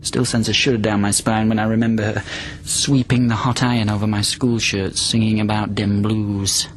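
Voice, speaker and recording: An actor playing a desperate confined patient from the past in a radio drama, speaking in an emotional, halting, plaintive way. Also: male voice, lowish voice, little pitch movement, a little hiss or hum, natural voice. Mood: tentative. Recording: radio drama with music underneath.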